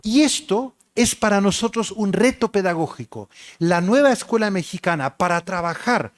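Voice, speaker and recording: Middle-aged guy lecturing, pretty high voice, measured and emphatic, keeps listing examples. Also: expressive. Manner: measured, emphatic, repeats lists. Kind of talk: academic lecture with slides.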